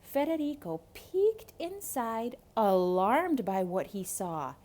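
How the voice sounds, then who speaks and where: A woman narrating a children's story as a theatrical read-aloud, dramatic and expressive. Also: fairly high pitch, takes it slowly.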